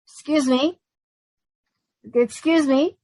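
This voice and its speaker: A woman's voice: high-pitched, slow, warm tone, dry recording, pitched above the performer's own voice. A woman playing a wry, long-suffering young city woman who narrates and wisecracks sardonically in a comic old-time radio play. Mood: wry, resigned.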